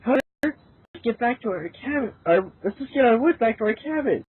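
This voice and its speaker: A man's voice: extremely high pitch, lively intonation, a little fast, breathy edge. A man reading casual dialogue in an amateur style. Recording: tinny, band-limited, noisy amateur recording.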